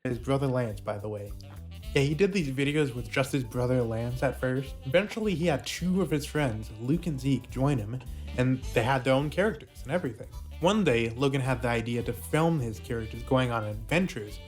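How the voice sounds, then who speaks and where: A man's voice: mid-range voice, normal speed, soft background music. A man narrating a video essay in a scripted documentary style.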